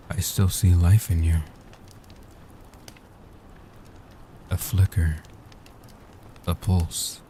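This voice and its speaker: Man playing a deep, soft-spoken gentle vampire guardian. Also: slight background noise. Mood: tender, admiring. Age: young adult. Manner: tender, reassuring, slow and deliberate. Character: gentle, devoted centuries-old male vampire guardian. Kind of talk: soft-spoken comfort roleplay audio.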